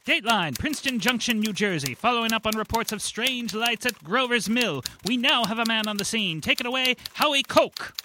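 Guy doing a urgent 1930s radio news anchor, really high voice, noisy line w typing clatter. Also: lively intonation, brisk, hardly any pauses, sharp tone, heavily acted. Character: urgent old-time radio newsman calling his field reporter.

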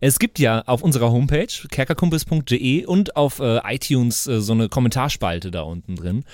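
A man's voice: mid-range voice, animated, fairly quick, darkish tone, no echo, studio quality. A man co-hosting a podcast in casual, jokey banter with a touch of mock showmanship. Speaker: podcast co-host. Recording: music behind the voice. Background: music.

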